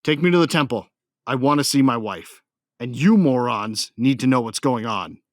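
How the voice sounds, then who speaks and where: A man's voice: medium pitch, animated, normal speed, no echo, clean studio sound, close to an everyday voice. A man playing a hot-tempered warrior who narrates his own battles in an audio drama, intense and sardonic. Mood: angry, combative.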